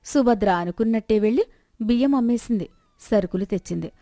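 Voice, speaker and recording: A woman's voice: a little high, brisk, dark tone, no echo, clean studio sound. A woman storyteller reading a scripted moral tale as narration.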